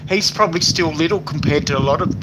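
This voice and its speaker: A man's voice: fairly high pitch, quick pace. An older Australian man chatting loosely on his live stream, rambling with many fillers. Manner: rambling, lots of fillers, stop-start phrasing. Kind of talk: casual live stream chat, reading comments aloud. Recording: noisy home recording.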